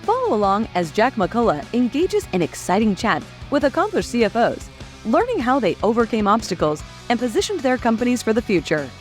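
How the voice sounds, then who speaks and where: A woman's voice: on the high side, big pitch swings, fairly quick. A woman reading a scripted podcast introduction in an upbeat, promotional way. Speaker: show announcer. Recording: intro with music behind. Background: music.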